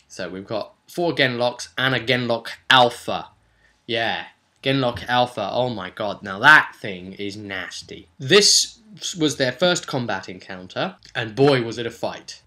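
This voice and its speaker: Bright-voiced man recounting a game session. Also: mid-range voice. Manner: animated storytelling, slipping into quick character quotes. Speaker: game master. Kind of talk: casual recap of a tabletop game session.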